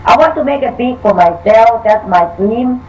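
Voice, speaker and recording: An older woman from Thailand with a Thai accent, answering interview questions in halting, broken English full of fillers. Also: a little high, animated, a little mellow, distant mic, muffled recording, a little hiss or hum. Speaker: author guest.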